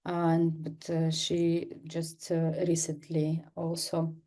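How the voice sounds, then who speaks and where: A woman's voice: low-pitched, monotone, warm tone. Hesitant woman lecturing with lots of fillers. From northern Kazakhstan. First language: Kazakh. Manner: halting, many fillers and restarts. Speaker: guest lecturer, artist. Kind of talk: online seminar lecture. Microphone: computer mic on video call.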